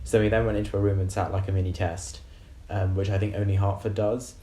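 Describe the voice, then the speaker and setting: A university student, a man of about twenty from West London, describing his interviews in a measured way with frequent ums. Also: lowish voice, level tone, dark tone, reverberant. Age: around 20. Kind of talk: casual interview answers.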